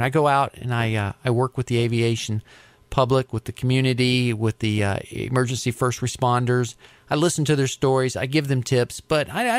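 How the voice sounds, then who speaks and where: A middle-aged man with an American accent, telling his experiences in a conversational interview in a reflective, explanatory way with halting phrasing. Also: medium pitch, no room sound. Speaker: interview guest, aviation safety advocate.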